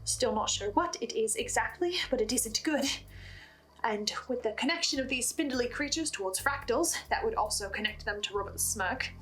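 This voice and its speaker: A woman's voice: a little high, fairly bright tone, poor audio. A woman narrating a scripted recap as the host of an analysis video, with an earnest, investigative manner. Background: music bed.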